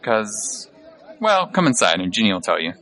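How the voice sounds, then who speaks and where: Flustered male gate guard, animated pitch, acted. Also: a faint crowd, exaggerated voice. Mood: uneasy. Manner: halting, awkward.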